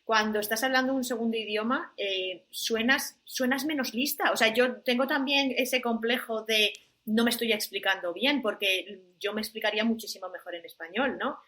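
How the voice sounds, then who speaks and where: A woman hosts a casual podcast chat, putting questions to her guest and chiming in with quick agreement. Her voice is clearly bright and sits a little higher than most. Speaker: podcast host.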